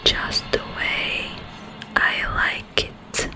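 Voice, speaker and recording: A woman voicing a soothing yet menacing sleep paralysis demon in an ASMR roleplay, in a breathy whisper with halting, drawn-out phrases. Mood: smug, menacing.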